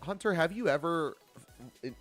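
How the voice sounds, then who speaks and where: A man's voice: a little high, lively intonation, moderate pace, a bit bright. Playful young man streaming a game over music. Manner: playful mock-indignant banter, swears freely. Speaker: game livestreamer. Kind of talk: casual livestream chat while gaming.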